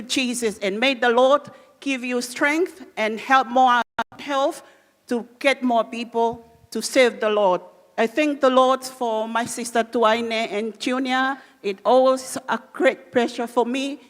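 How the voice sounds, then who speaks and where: Earnest higher-voiced woman. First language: Cook Islands Māori. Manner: earnest, in simple non-native English. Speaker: church member giving testimony. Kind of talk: testimony in church. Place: church hall. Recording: long reverb of a church hall.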